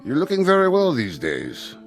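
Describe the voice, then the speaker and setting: An actor playing a courteous, uneasy headmaster in a period TV drama, formal, tactful and hesitant. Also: male voice, a little high, huge pitch swings, normal speed, soft background music. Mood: stiffly polite. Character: courteous, uneasy male headmaster breaking news gently. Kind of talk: period television drama acting.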